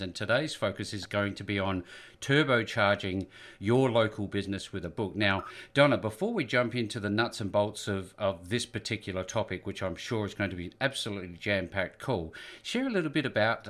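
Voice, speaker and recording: A man hosting a business interview show over a call, upbeat but pausing with frequent fillers as he sets up questions. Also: on the low side, a bit bright. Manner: upbeat, with many "uh" fillers between phrases. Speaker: podcast host. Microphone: remote call.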